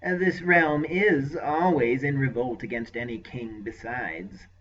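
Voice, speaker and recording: A man voicing a scheming male sorcerer with a deeply nasal, unctuous, smug manner in an audiobook. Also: expressive, normal speed, sharp tone, far from the mic. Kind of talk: audiobook character voice. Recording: lo-fi dull recording.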